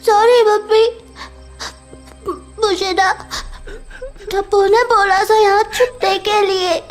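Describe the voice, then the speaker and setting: A performer voicing an apologetic young boy in an audio horror drama, pleading haltingly like a child and devoted to his mother. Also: very high voice, fairly even intonation, soft background music. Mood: tearful, apologetic.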